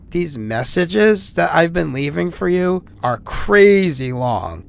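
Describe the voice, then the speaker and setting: An actor playing a sick, isolated young man who leaves halting, anxious, apologetic voicemails in a horror audio drama. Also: medium pitch, gravelly, full character voice. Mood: weary, suspicious. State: feverish, coughing.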